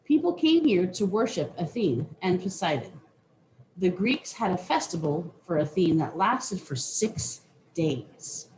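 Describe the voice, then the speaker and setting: A woman reading a children's nonfiction book aloud with deliberate, teacherly phrasing. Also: fairly low pitch, very animated, a little mellow, slight background noise, low-quality recording. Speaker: reader.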